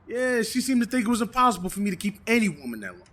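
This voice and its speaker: A man's voice: high-pitched, very animated, racing, a bit bright. A man acting a selfish, oblivious boyfriend in a comedic audio drama, repeating himself in a whiny, pleading way. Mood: pleading, indignant. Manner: stammering, repetitive, pleading. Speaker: voice actor in an amateur audio drama. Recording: very noisy, long reverb.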